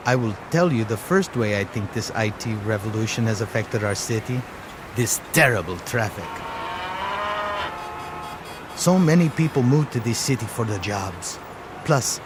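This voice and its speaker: A man's voice: mid-range voice, natural voice. A man playing a chatty tuk-tuk driver. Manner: chatty, expressive, exclaiming about traffic. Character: friendly talkative male tuk-tuk driver in Bangalore. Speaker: interviewee. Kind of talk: scripted educational role-play.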